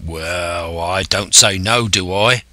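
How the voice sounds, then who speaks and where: A man voicing an impatient, grumbling pirate coxswain with a surly growl and rough seafaring dialect. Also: deep voice, a little slow, bright, forceful tone, dry recording, heavily acted.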